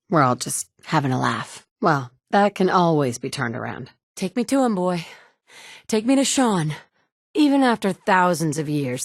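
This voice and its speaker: A woman's voice: fairly low pitch, a little mellow, no echo. An actress voicing a blunt, sarcastic woman in a game, a protective mother with a dry, no-nonsense manner. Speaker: player character. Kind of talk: video game dialogue.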